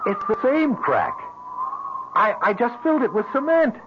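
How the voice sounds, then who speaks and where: An actor playing a bewildered, earnest builder who stammers and repeats himself in an old-fashioned radio drama. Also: male voice, very high voice, big pitch swings, normal speed. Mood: shaken, disbelieving. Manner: hesitant, stammering, repeats words. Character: rattled, bewildered working builder, polite and earnest. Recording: noisy, muffled old radio recording.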